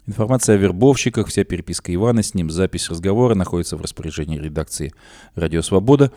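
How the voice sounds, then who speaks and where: A man reading a news report on a radio program, running through quotes in the same steady reading voice. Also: sits low, quick pace, dry recording, studio quality. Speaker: news presenter.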